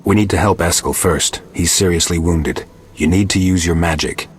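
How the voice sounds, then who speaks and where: A monster hunter played by a man with an extremely deep, gravelly voice. He is calm and terse, weighing things reasonably in a restrained game performance, over faint ambient noise. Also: normal speed, close to an everyday voice. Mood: grave, firm. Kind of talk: video game voice acting.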